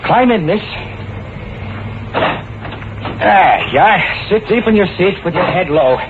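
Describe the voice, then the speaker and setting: A man's voice: sits high, expressive, normal speed. Casting: bewitched, brooding truck driver, theatrical 1940s radio style, thin band and heavy noise. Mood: wary, incredulous. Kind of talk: 1940s radio drama acting, dramatic first-person narration. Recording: noisy, tinny old radio recording.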